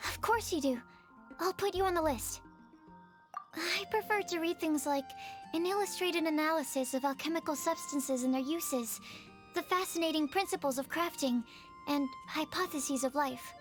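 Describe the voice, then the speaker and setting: Woman as earnest, very high-voiced young alchemist. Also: moderate pace, lightly acted. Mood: thoughtful. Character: earnest, shy young female alchemist, polite and bookish. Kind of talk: video game cutscene dialogue. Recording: faint music behind the voice.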